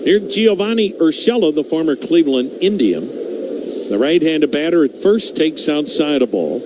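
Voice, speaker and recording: An American man calling baseball play-by-play on the radio in measured, clipped phrases with pauses. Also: a little high, lively intonation. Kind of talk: live play-by-play commentary. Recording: noisy live ballpark ambience.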